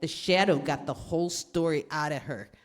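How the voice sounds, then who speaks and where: A woman's voice: low-pitched, moderate pace, natural voice. A woman playing a nervous petty crook, slangy and wary, in 1930s radio style. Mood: fearful, urgent. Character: nervous petty crook, henchman posing as beggar. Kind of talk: 1930s gangster radio acting. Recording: reverberant hall recording.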